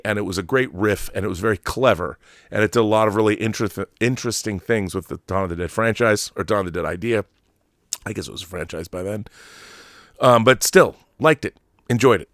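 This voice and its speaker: Low-voiced man, emphatic movie talk. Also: a bit bright, no room sound, clean studio sound. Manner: emphatic, rambling, repeats and restarts phrases. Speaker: host of a film commentary show; trailer editor. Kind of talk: opinionated, off-the-cuff movie commentary.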